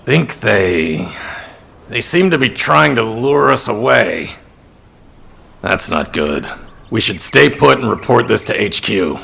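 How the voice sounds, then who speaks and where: Gravelly, uneasy male soldier. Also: on the low side, big pitch swings, takes it slowly, a bit bright, heavily acted. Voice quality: gravelly, rough. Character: concerned male soldier on war-front watch, urgent. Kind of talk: video game cutscene acting.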